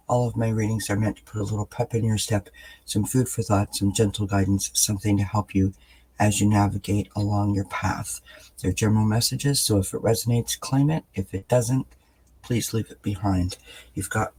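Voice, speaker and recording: A man with a raspy, just-woken-up voice, giving a gentle daily tarot reading in a soft-spoken, relaxed way with lots of fillers. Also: on the low side, poor audio. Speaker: tarot reader. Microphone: camera mic, above-view camera.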